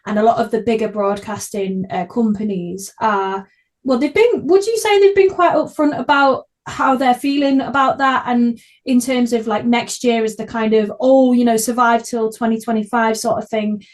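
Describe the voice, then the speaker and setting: A woman's voice: on the high side, fairly even intonation, moderate pace. A woman hosting a casual podcast interview, speaking in an informal, enthusiastic way. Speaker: podcast host.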